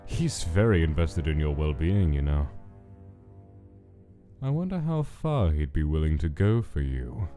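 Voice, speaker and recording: A man acting as an older skeleton scientist with a very low voice. He is cold, controlling and menacing, choosing formal, clinical words with measured weight. It is a dramatic comic dub with music playing clearly behind. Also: sing-song, warm tone, full character voice. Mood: stern, clinical.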